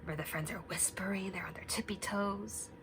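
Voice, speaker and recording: A young woman teacher reading a picture book aloud and asking the children gentle questions, sometimes dropping to a whisper. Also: mid-range voice, moderate pace, background noise. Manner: drops to a whisper at quiet parts.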